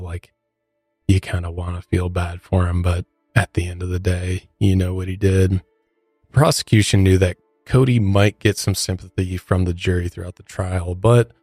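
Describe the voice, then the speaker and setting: Low male voice, American accent, podcast host, storytelling delivery, soft music bed. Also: husky, dry recording, clean studio sound. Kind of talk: conversational true crime narration.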